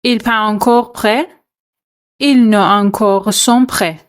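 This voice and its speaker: Slow, measured woman reading a French quiz. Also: medium pitch, steady tone, clean tone. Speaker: French teacher. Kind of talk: scripted quiz narration.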